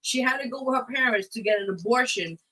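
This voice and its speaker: A woman's voice: fairly high pitch, fast, pushed and bright, poor audio. A woman hosting a casual live talk show, speaking in an emphatic, blunt way. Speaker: radio show host.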